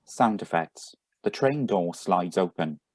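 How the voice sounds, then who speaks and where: A man with a deep voice announces stage directions for a radio play read over a video call. His scripted delivery is slow and deliberate. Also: lively intonation, breathy edge. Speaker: announcer reading sound effect directions. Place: remote, on a Zoom video call. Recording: live video call reading.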